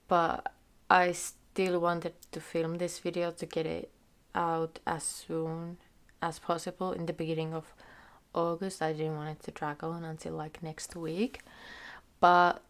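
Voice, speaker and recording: A woman's voice: low-pitched, gravelly. A young woman from Finland, a native Finnish speaker who is sick, chatting loosely to camera about her reading from a small room. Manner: chatty, loose, thinking aloud with fillers. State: sick, slightly hoarse. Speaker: book vlogger. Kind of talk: casual chat to camera. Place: small room in front of a bookshelf. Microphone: camera in front at desk distance.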